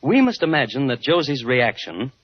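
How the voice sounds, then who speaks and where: An actor playing a wry, dry crime-story host, narrating in 1950s radio style. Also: male voice, medium pitch, big pitch swings, moderate pace. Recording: muffled old radio broadcast. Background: faint music.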